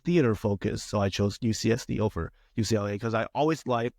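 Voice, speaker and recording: A man's voice: fairly low pitch, quick pace, a little mellow, dry recording, clean studio sound. A young man from China, a theater student, talking casually as an interview guest with many fillers and restarts. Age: young adult.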